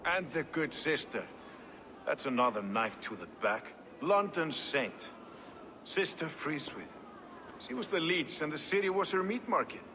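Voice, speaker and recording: An actor playing a rough, sardonic, weary medieval man in a video game, with a wry, blunt and expressive delivery. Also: fairly high pitch, a little slow, noisy background. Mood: bitter. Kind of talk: dramatic video game dialogue.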